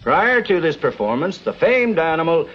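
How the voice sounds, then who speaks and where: An actor playing an older American frontier newspaperman in a TV western, folksy, dry and deliberate. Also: on the high side, very animated, a little hiss or hum. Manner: folksy, reads copy aloud deliberately. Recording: dull old television soundtrack.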